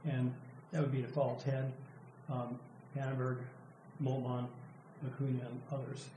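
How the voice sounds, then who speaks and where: Hoarse older man lecturing, mellow voice. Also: medium pitch, completely flat delivery. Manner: thoughtful, halting, with frequent restarts and fillers. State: losing his voice, clearing throat. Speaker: professor presenting a student's work. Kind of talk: academic lecture. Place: lecture room. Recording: echoey room with faint noise.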